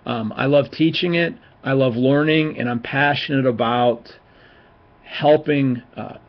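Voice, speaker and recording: A man's voice: medium pitch, slightly rough, dull audio. A man in his forties, a seminary professor, giving an informal, encouraging recorded class talk with many fillers and restarts. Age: 40s. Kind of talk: recorded online class lecture.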